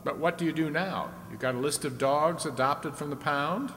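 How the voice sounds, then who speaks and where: A man's voice: fairly high pitch, normal speed, fairly bright tone, close to an everyday voice. A man playing a genial, chatty host of an old-time radio audience show, explaining things and prompting with questions. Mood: inquiring. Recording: echoey hall with background noise.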